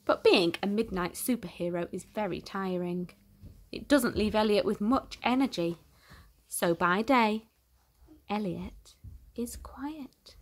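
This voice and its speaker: A woman reading a children's storybook aloud in an expressive, theatrical way with deliberate emphasis. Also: mid-range voice. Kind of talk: dramatic storytime narration for young children.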